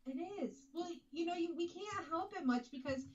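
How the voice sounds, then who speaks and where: A woman's voice: very high-pitched, expressive, moderate pace, a little mellow, distant mic. Congested woman co-host, lo-fi sound. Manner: chatty, laughs often. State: congested from allergies. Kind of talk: casual live show chat. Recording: dull lo-fi sound with faint noise.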